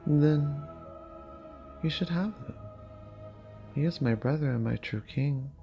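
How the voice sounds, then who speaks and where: A narrator playing a young princess, earnest and quietly resolute, in a measured dramatic fantasy audiobook reading. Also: mid-range voice, creaky, soft background music. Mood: sorrowful, earnest.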